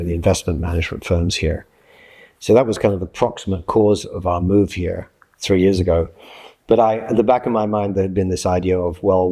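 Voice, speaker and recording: Male interviewee, about sixty, from Scotland. Low, slightly rough-edged voice, thoughtful and explanatory, self-correcting as he goes. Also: mellow. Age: late 50s to early 60s. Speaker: interviewee, journalist and freelance writer. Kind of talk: reflective interview answers.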